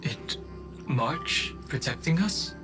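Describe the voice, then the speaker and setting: An actor playing a serious, loyal young man in a video game, speaking in an earnest, measured way. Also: on the high side, lively intonation, poor audio. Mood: grave, worried. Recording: loud music under the voice.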